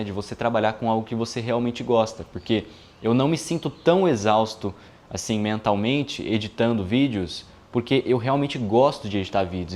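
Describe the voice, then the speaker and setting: A man in his early twenties, a video editor, talking casually to his viewers in a relaxed, chatty way with frequent filler tags. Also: on the low side. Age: 20s. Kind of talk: casual vlog monologue.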